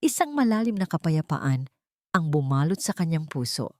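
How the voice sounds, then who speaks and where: A woman narrates a scripted romantic drama in a sentimental, measured storytelling manner. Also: sits low, very animated, darkish tone, no room sound, studio quality.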